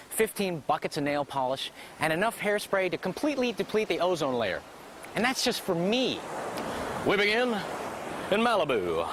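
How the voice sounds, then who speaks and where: A man's voice: high-pitched, background noise. A man hosting with jokes and self-mocking asides. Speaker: host. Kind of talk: comic TV hosting.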